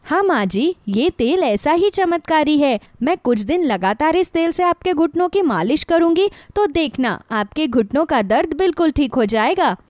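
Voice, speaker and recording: A woman's voice: high voice, animated, moderate pace, hardly any pauses, a little mellow, no room sound, clean studio sound, voice pushed up. A woman playing a sweet, dutiful young daughter-in-law, eager to please, in a moral story. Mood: caring. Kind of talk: acted dialogue in a moral story.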